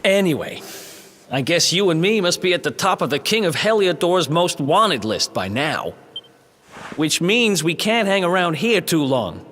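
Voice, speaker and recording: An actor playing a cocky, loyal young thief in a video game, with a breezy, wry, streetwise manner. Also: male voice, on the high side, sing-song, unhurried, slight background noise. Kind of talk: video game cutscene acting. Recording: loud game music behind the voice. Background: loud music.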